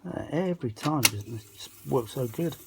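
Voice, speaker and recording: A man's voice: mid-range voice, animated, normal speed, vocal fry, very noisy. A man talking casually and off the cuff as an enthusiastic hobbyist presenter, rambling informally while demonstrating a machine. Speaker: vintage audio hobbyist presenter. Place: indoors at a cluttered workbench.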